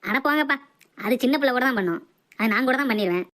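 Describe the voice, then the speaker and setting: A woman's voice: on the high side, expressive, fast, fairly bright tone. A woman voicing a cheeky, food-loving young son in a comic cartoon.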